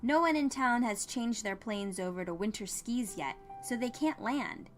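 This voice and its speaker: A woman's voice: on the high side, animated, normal speed, pitched above the performer's own voice, lightly acted. A woman narrator puts on a small-town male clerk for an audio story. He is casual, helpful and apologetic, explaining things matter-of-factly, with music in the background. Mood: regretful. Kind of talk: dramatized audiobook character dialogue.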